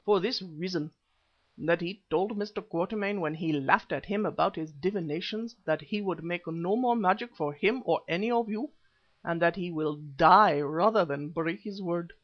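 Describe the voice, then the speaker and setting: Guy doing a prim snooty translator man from an old adventure book, highish voice, wordy, bit lo-fi. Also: moderate pace, natural voice. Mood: prim, disdainful. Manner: pompous, wordy, formal. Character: prim, fussy male interpreter, disdainful and apologetic. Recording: slightly dull, lo-fi audio.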